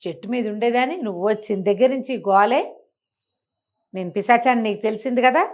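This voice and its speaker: A pushy female demon from a bedtime ghost story, acted out by a woman storyteller. She scolds and threatens in a fairly high voice, exasperated and easily annoyed. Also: quick pace, darkish tone, lo-fi sound, natural voice. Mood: annoyed, demanding.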